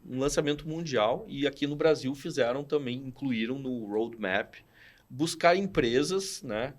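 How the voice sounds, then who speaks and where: Animated storyteller from southern Brazil, man in his 40s, slangy, acts out quotes, mid-pitched voice. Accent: southern Brazilian Portuguese. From Rio Grande do Sul, Brazil. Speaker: podcast guest, studio founder. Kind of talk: casual storytelling chat.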